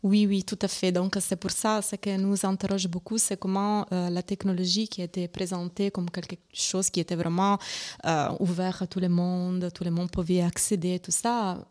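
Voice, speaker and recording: A woman's voice: on the low side, flat delivery, normal speed, darkish tone. A woman with an Italian accent, a guest on a live radio interview, speaking hesitantly with many fillers and restarts. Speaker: guest from an arts organisation.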